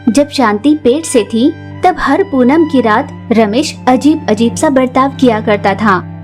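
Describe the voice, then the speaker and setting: A woman's voice: high-pitched, a little slow, a little mellow, soft background music. A woman narrating a scripted moral story for children as a storyteller.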